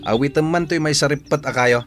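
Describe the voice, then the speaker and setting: A man's voice: fairly high pitch, brisk, over music, natural voice. An actor with a Filipino accent playing a brash, teasing young village man in an audio drama, taunting and exclamatory. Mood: teasing, gruff. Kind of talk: Ilocano audio drama acting.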